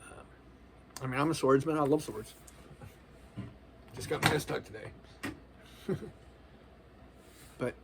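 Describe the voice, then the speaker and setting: Gravelly man in his forties. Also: medium pitch, slight background noise, rough recording. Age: 40s. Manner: loose, earnest, casual with slang and filler. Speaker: spiritual vlogger. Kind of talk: rambling spiritual monologue.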